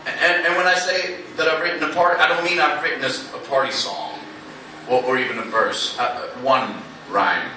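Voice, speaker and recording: A man's voice: mid-range voice, bright, forceful tone, slight background noise. A man in his thirties with a Swedish accent, a slam poet bantering dryly between poems with many fillers and restarts. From Sweden. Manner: dry, self-mocking, halting with many fillers. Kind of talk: stand-up style spoken word banter. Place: small club stage. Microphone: stand mic on stage, recorded from the audience. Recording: echoey club from far off.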